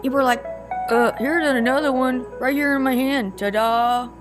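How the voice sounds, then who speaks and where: A woman's voice: high voice, moderate pace, over music, close to an everyday voice. An actress playing a wry, guarded psychic woman in an audio drama, with a dry, teasing, sarcastic manner. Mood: sarcastic banter. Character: wry, guarded psychic woman who teases her old friend. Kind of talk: scripted audio drama dialogue.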